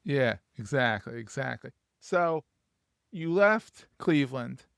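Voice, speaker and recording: This is a male podcast host with a gravelly voice and a lively pitch that bounces around. He jokes and reacts eagerly. The sound is dry and close, with no room echo. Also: takes it slowly. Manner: playful, enthusiastic interjections. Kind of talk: casual interview chat.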